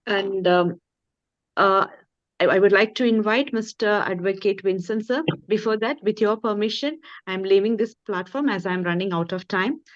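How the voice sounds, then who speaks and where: A woman with an Indian accent and a medium-pitched voice hosts formally and courteously. The sound is dry and slightly dull, like an online meeting. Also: normal speed, no echo. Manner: courteous, deferential. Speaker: webinar moderator. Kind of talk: polite formal hosting.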